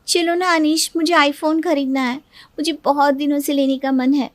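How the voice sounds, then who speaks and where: A woman voicing a lively, easily upset young working daughter-in-law in a cartoon story. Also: high voice, normal speed, no room sound, pitched above the performer's own voice, close to an everyday voice. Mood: eager. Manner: expressive, complaining and pleading.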